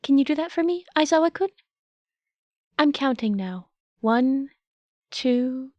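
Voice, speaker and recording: A woman puts on a small, fussy creature of a school principal, lifted high above an everyday voice. He soothes in a prim, reassuring way, like a kindly storybook animal. The sound is dry and close. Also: expressive, unhurried, a little mellow, no echo, highs cut off. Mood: soothing. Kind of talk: audiobook character voice.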